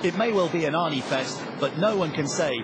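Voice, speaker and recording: A man's voice: on the high side, expressive, fairly quick, background noise. A British man delivering scripted documentary narration in a wry, tongue-in-cheek way.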